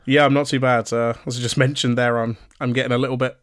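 A man's voice: mid-range voice, flat delivery, quick pace, dry recording, studio quality. A man co-hosting a podcast, chatting casually with plenty of ums and restarts while he is losing his voice a little. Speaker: podcast co-host.

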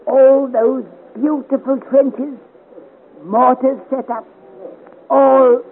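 Tiny cocky gallant soldier, high voice, takes his time, very theatrical, vintage radio drama. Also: fairly even intonation, drawn-out words, full character voice. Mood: delighted. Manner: theatrical, effusive. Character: small dapper soldier, boastful, hot-tempered, warm-hearted gallant. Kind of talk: 1950s radio serial adventure acting. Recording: noisy, narrow-band vintage radio recording.

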